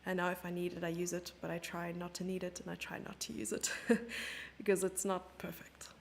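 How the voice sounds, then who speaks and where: South African woman with a gravelly edge. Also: lowish voice, little pitch movement, fairly quick, a little hiss or hum. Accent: South African English. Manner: chatty, reads chat questions aloud and answers them. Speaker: chess commentator and streamer. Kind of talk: live chess commentary, chatting with viewers.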